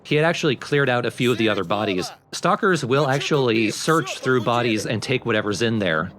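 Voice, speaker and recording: A man's voice: medium pitch, lively intonation, brisk, crowd noise. A man hosting a let's play, explaining the game in casual commentary. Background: video game music.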